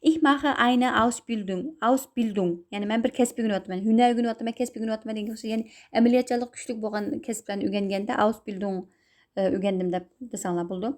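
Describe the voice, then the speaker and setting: A young woman, a native Uyghur speaker, teaching German; she reads each example sentence slowly and a bit louder, then explains it in a calmer, lower voice. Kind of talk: recorded language lesson.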